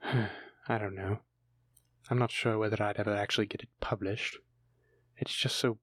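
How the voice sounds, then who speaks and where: A man's voice: lowish voice, no echo, studio quality. A man playing a bashful, bookish dragon lover in a romantic fantasy audio roleplay, stammering tenderly as he speaks to his sweetheart.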